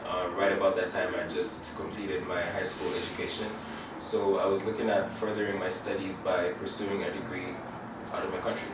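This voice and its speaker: Deep-voiced young man from Uganda. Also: little pitch movement, recorded from a distance. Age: young adult. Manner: measured, hesitant. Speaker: interviewee, international student. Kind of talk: interview answers. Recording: echoey room, heard from afar with noise.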